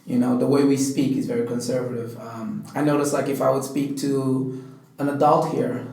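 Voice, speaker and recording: A man's voice: medium pitch, flat delivery, moderate pace, creaky, a little mellow. A young man from Angola, raised in South Africa, explaining his views in a thoughtful, hesitant way as a student guest. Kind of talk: casual discussion. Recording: echoey room, distant mic.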